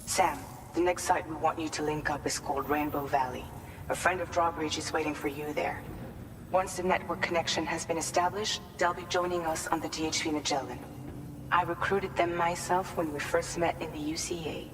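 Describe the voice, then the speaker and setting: An actress plays a composed female colleague briefing in a game. Her voice is low and polite, reverberant, with noise clearly behind it. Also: steady tone, moderate pace, far from the mic, lightly acted. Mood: calm, polite. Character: calm adult female team colleague giving directions.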